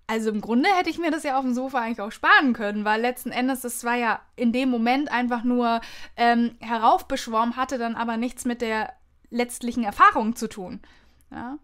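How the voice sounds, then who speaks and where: Upbeat podcast lady, slightly high voice, chatting and encouraging people. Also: a bit bright. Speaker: podcast host. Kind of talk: conversational podcast interview.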